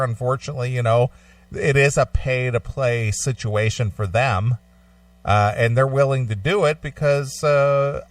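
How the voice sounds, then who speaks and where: A man hosting a conversational radio interview, speaking in measured, wordy phrases with hesitations. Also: mid-range voice, no echo, distant mic. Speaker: radio show host.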